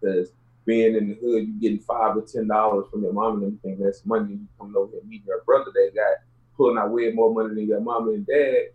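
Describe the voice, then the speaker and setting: A man's voice: lowish voice, little pitch movement, fast, phone-quality sound. A man from Houston with a Southern US accent, telling his own story casually as an interview guest in streetwise, slangy speech. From Houston, Texas, United States.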